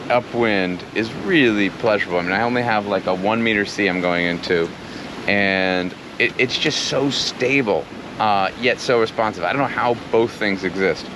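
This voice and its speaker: A man casually explaining things to the camera on a sailboat at sea, chatty and enthusiastic, with fillers. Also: lowish voice. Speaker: solo sailor and channel host. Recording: wind and water noise on a sailboat.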